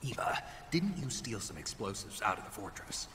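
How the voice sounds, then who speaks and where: An actor playing a terse, middle-aged soldier spy in a video game, gravelly, gruff and deadpan. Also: male voice, on the low side, normal speed, a little hiss or hum. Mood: stoic. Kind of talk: cinematic video game voice acting. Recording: reverberant hall, music underneath.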